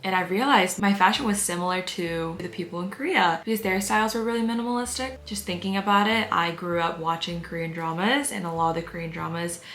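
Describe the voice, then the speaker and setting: A woman, talking softly and reflectively through a get-ready-with-me vlog voice-over. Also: lowish voice, fast, hardly any pauses, echoey room. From United States. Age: 20s. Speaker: beauty vlogger.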